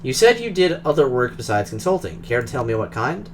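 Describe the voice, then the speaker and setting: A man's voice: mid-range voice, big pitch swings, a little fast, slight background noise. A man reading a game's dialogue aloud as the player character, in a conversational, questioning way. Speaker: game streamer.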